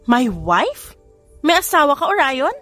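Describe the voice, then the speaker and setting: A woman with a Filipino accent voicing an excitable, nosy young sister-in-law, eager and inquisitive, in an audiobook romance. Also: high voice, measured pace, soft background music, pitched above the performer's own voice, full character voice. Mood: curious, shocked. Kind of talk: audiobook romance character acting.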